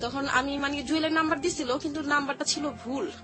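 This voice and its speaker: A woman's voice: sits high, fairly even intonation, fast talker. A woman with a Bangladeshi accent giving a personal account as an interview guest, explaining in colloquial, repetitive phrases. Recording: music playing behind the voice. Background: background music.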